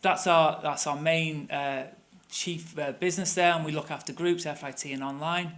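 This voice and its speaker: A man's voice: fairly high pitch, fairly even intonation, normal speed, noisy background. A middle-aged man with a Manchester accent giving a conversational conference presentation, with many fillers and restarts. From England. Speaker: tour operator presenter. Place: conference room.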